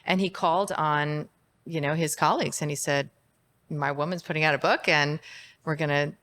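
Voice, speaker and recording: Woman interview guest, very low pitch, reflective and candid tone. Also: fairly even intonation, normal speed, a bit bright. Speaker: guest, holistic psychiatrist. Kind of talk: interview conversation.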